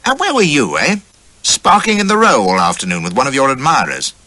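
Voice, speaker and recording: Man playing a swaggering, forceful English officer. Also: medium pitch, sing-song, a little fast, no echo, pitched above the performer's own voice. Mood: teasing. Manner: breezy, boastful. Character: swaggering, roguish Victorian army officer husband.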